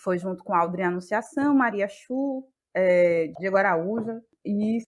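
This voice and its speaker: A woman with a Bahian Brazilian accent talking reflectively in an interview, feeling her way haltingly through her thoughts. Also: mid-range voice. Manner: thoughtful, halting, searching for words. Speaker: guest, playwright and actress.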